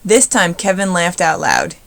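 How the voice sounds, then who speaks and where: A woman with a slightly low voice reads a picture book for children. Her delivery is expressive storybook narration. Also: unhurried, creaky. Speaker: storybook reader.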